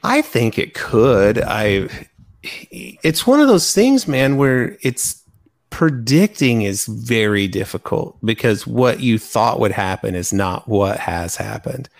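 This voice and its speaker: A man's voice: mid-range voice, lively intonation, slow, gravelly. A man co-hosting a podcast, giving his opinions in casual fan chat. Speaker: podcast co-host.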